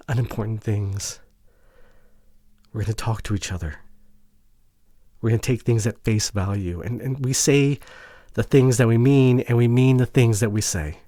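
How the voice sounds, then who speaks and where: A man playing a remorseful, devoted boyfriend in an intimate ASMR audio drama, with a breathy voice that often drops toward a whisper and an earnest, halting delivery. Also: fairly low pitch, mellow, dry recording, clean studio sound. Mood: earnest, resolute. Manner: emotional, earnest, halting with sighs. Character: remorseful, devoted boyfriend pleading to repair relationship.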